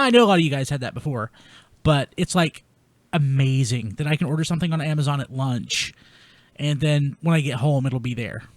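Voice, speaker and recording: A man's voice: mid-range voice, dry recording. Enthusiastic man hosting a casual podcast. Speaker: podcast host. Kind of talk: casual chat.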